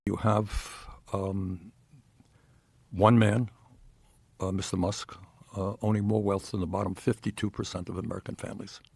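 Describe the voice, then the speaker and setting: Male politician, raspy and low, emphatic repeated phrasing, close dry recording. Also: level tone, moderate pace, long pauses, a little mellow, no room sound. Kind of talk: political argument in an interview.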